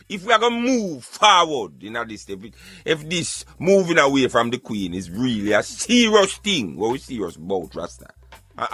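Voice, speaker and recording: A man's voice: fairly high pitch, pushed and bright, dry recording. A man with a Jamaican accent giving emphatic, preachy, rhetorical opinions in an impassioned talk style. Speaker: radio show host.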